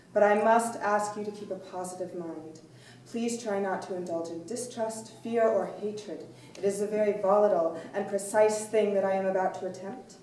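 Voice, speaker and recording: A woman playing a grave, commanding elderly blind soothsayer in a staged play reading, speaking formally and deliberately. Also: medium pitch, darkish tone, close to an everyday voice. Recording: echoey room, distant mic, faint noise.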